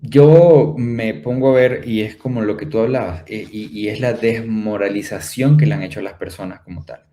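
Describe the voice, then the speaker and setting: A man's voice: fairly low pitch, normal speed, warm tone. A man with a Venezuelan accent giving an earnest, explanatory talk, often posing questions to his listeners. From Maracaibo, Venezuela. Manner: rhetorical questions with repeated tag phrases. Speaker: speaker on a spiritual talk show.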